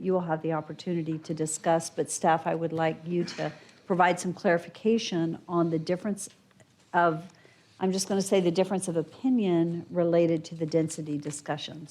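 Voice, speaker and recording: Mellow low-voiced woman chairing a meeting.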